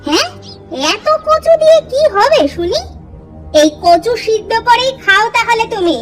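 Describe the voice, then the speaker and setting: A woman voicing a sharp-tongued young village wife who scolds and mocks her husband. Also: extremely high pitch, lively intonation, unhurried. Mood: scolding. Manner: scolding, sarcastic. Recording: cartoon music under the voice.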